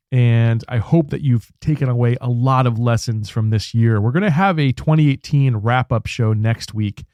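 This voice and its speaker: A man hosting a radio interview show, chatting casually and warmly with his guest, with fillers and restarts. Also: mid-range voice, clean tone, no echo.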